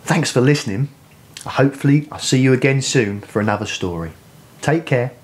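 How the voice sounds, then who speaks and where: A man's voice: mid-range voice, moderate pace, slightly rough. A man in his thirties with an English accent, reading a rhyming picture book expressively to young children. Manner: expressive, addressing young listeners directly. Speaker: children's storyteller.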